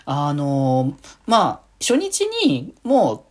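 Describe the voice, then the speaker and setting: A woman hosts a casual solo fan chat in a low-pitched, husky voice. Her intonation moves widely up and down. She rambles along with gushing, excited enthusiasm. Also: very slow. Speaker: podcast host.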